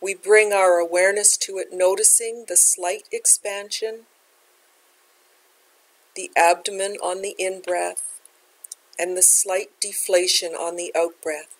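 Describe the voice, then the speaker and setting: A woman leading a guided meditation as a teacher, speaking in a gentle, unhurried, measured way. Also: medium pitch, steady tone, rough recording.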